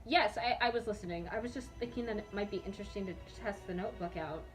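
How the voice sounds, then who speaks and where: Casting: woman as curious, vindictive teen girl, mid-pitched, brooding and dramatic, fanfiction reading over music. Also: fast, lightly acted. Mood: hesitant, curious. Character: reckless, curious, vindictive teenage schoolgirl.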